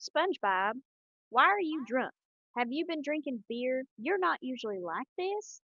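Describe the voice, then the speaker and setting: A woman voicing a concerned, scolding female cartoon squirrel with a Texan accent and emphatic, drawn-out delivery. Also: high-pitched, sing-song, sharp tone, dry recording, highs cut off, voice pushed up, full character voice.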